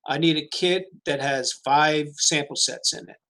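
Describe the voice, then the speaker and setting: A man's voice: a little high, fairly even intonation, moderate pace, pushed and bright. Plain-spoken man, service manager. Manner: plain, with many "uh" fillers. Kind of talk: webinar presentation.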